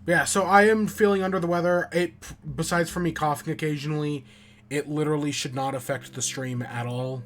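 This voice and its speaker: A man streaming a game and chatting casually, with a stuffy nose and a raspy throat from a cold, talking in a rambling, offhand, self-mocking way. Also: on the high side, steady tone, a little fast, fairly bright tone. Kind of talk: casual chat while playing a game.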